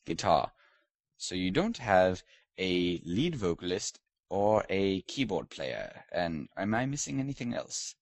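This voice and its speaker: A man's voice: low-pitched, sing-song, measured pace, no echo, clean studio sound, full character voice. A man playing a pompous British lord who hosts a comedic podcast interview, in a put-on British accent with a mock-posh, teasing manner. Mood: haughty, mock-posh. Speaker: host.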